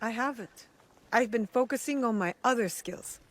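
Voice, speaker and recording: A woman's voice: fairly high pitch, moderate pace, close to an everyday voice. Earnest young woman shinobi voiced by an actress. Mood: earnest, reserved. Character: earnest young female shinobi, dutiful daughter. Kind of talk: dramatic video game acting.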